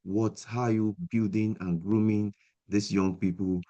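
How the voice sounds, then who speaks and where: A man interviewing a guest, asking long questions in a formal, deliberate way. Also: fairly low pitch, level tone, mellow, highs cut off. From Nigeria.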